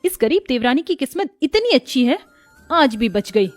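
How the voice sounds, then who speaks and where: Woman acting a jealous village sister-in-law, high-pitched, spiteful, accusing, cartoon villainess, music underneath. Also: very animated, normal speed, dry recording. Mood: resentful.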